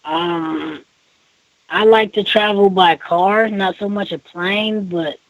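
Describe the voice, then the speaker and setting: A man's voice: high voice, fairly even intonation, takes it slowly, dry recording, low-quality recording. A man chatting casually and a little hesitantly as a radio interview guest. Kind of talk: casual chat.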